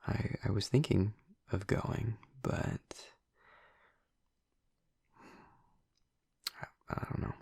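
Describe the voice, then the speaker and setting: Hushed, gravelly young male vampire played by a man. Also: on the low side, sing-song, unhurried, dry recording, studio quality, heavily acted. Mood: hesitant, reflective. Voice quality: breathy, husky, gravelly murmur. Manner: hesitant, rambling, casual. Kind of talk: intimate audio roleplay addressed to the listener.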